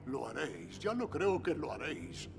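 A man's voice: medium pitch, huge pitch swings, normal speed, vocal fry, over music. A man voicing a vain, scheming prince who speaks smugly and commands. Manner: smug, commanding. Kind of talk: cartoon dub acting.

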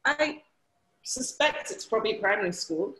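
A Nigerian woman in her fifties, answering interview questions over a video call in a relaxed way. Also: fairly high pitch, moderate pace, poor audio. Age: 50s. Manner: relaxed, laughs easily. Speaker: guest. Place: indoor room at home. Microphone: video call webcam.

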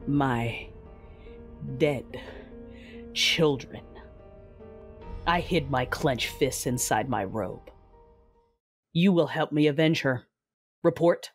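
A woman playing a cold, grieving, vengeful Sith lord, narrating an audio drama in a controlled, menacing, deliberate way. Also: extremely low pitch.

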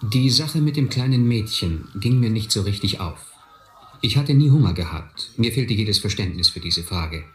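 A reflective man an actor narrates his youth in first person, low-pitched and wry, as in a radio drama. The sound is roomy, with faint music underneath. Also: animated, moderate pace, close to an everyday voice.

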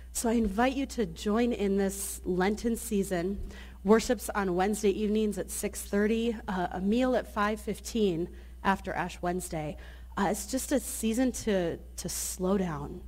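A woman in her thirties, a pastor giving friendly, inviting announcements and a blessing at a lectern mic in a church sanctuary. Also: medium pitch. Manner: friendly, inviting, with many restarts. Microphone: lectern microphone.

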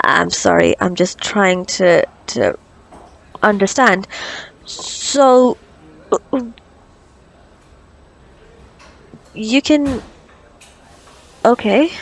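A woman playing a flustered, curious doctor on a date in an ASMR roleplay, stammering nervously and haltingly. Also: fairly high pitch, full character voice, rough recording. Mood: curious, awkward. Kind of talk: ASMR roleplay audio drama.

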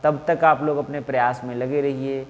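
A man, making a measured, formal appeal to camera as an exam coaching teacher. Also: mid-range voice, little pitch movement. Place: small indoor classroom in front of whiteboard. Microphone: clip-on lapel mic. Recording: reverberant, hard-walled room.

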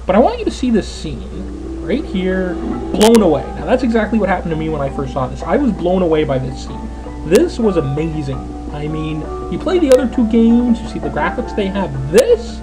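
A Canadian man in his twenties chats through gameplay commentary in a rambling way, full of fillers. His pitch swings lively up and down, and faint game music plays behind him. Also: moderate pace, poor audio. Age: 20s. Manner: chatty, rambling, lots of fillers. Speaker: let's player.

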